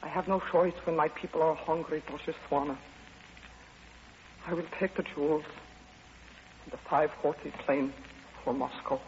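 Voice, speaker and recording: A woman's voice: sits low, fairly even intonation, moderate pace, long pauses. A woman playing an earnest, stern Soviet envoy in an old-time radio drama, on a muffled, noisy recording. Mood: resigned.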